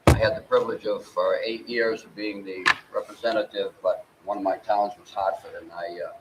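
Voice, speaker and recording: An older man moderating a town meeting, folksy and halting, often repeating words as he lays out the rules. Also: on the low side, steady tone. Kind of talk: addressing a public meeting. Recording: distant mic, faint room noise.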